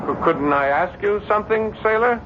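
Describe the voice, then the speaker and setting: An actor in an old-time radio drama playing a courteous, curious writer who grows halting when unsettled. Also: male voice, high voice, expressive. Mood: insistent. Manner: polite, halting when rattled. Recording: muffled, noisy old radio recording.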